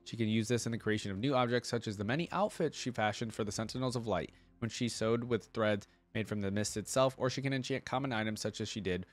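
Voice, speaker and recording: A man hosting a reaction video, reading lore aloud and adding informal commentary as he thinks out loud. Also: fairly low pitch, fast talker, few pauses. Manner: informal, thinking aloud between read passages.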